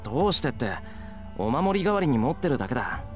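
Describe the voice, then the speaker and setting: A man playing a subdued, stubborn young male hero in an anime visual novel, speaking in a low-key, halting way. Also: big pitch swings, soft background music, close to an everyday voice. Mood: dazed, solemn.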